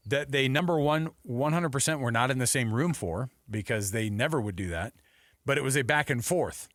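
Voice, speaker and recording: Sports radio co-host: American man, mid-pitched voice, casual bantering delivery, dry close studio mic. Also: a bit bright, dry recording. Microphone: close studio radio microphone.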